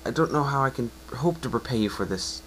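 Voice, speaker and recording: A man's voice: mid-range voice, expressive, a little fast, fairly bright tone, a little hiss or hum, pitched above the performer's own voice, close to an everyday voice, rough recording. A man voicing a grateful, wistful pony who has lost flight, in an amateur story reading. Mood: emotional, grateful.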